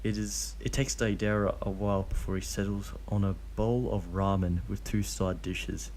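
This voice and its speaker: A man's voice: lowish voice, steady tone, moderate pace, a little mellow, a little hiss or hum. A man reading fanfiction aloud with frequent slips and restarts, then chatting casually about it. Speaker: fanfiction reader.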